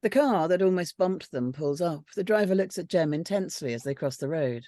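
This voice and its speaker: A woman narrates stage directions from a script. Her pitch is a little low for a woman. The recording is very dry, with hardly any room sound. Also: lively intonation, brisk, mellow, no echo. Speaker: script panel reader reading stage directions.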